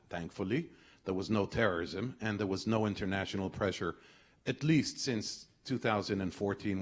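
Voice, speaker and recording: A man, a former diplomat weighing in on a discussion panel. His voice sits fairly low, and he talks with a wry, ironic edge. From Israel.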